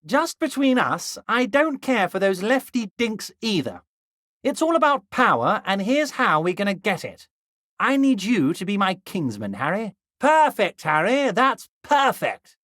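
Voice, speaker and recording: A man voicing a scheming middle-aged union boss in a video game, who keeps things folksy and wheedling while a threat sits under the friendliness. His voice swoops and bounces with lively pitch. The sound is dry and close, with no room around it. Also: unhurried, dry recording, heavily acted. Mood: conspiratorial. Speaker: game character voice.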